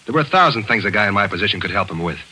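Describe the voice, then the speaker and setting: A man's voice: fairly low pitch, lively intonation, rapid-fire, sharp tone, husky, full character voice, poor audio. An actor playing a cynical, hard-boiled American man in 1940s radio acting style, clipped and tough. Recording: old radio broadcast.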